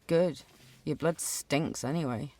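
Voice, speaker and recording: A woman's voice: sits low, slow pace, crowd noise. A woman playing a mean, self-righteous schoolgirl bully in an audio drama, with a scornful, mocking manner.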